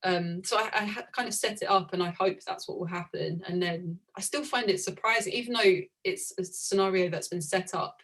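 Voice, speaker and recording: Artist woman, lower voice, mulls things over out loud, lots of like and kind of. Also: a little fast, few pauses, rough recording. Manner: reflective, searching for words, laughs often. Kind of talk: conversation about art.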